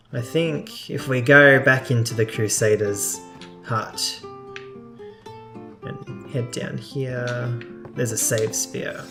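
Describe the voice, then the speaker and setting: A man with a gravelly, mid-pitched voice commentates casually over a video game. He talks in a hesitant, informal way, like a let's play host. Light music sits behind him.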